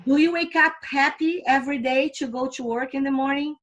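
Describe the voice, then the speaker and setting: A woman's voice: sits high, a little fast, muffled recording. A middle-aged woman from Brazil with a Brazilian accent, giving an earnest, upbeat recruitment presentation and asking her audience questions. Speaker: recruiting presenter for a financial firm.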